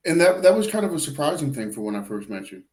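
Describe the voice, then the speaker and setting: A man chatting casually as a podcast guest, relaxed, with stammers and "you know what I mean". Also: on the high side, fast talker. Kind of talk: casual chat.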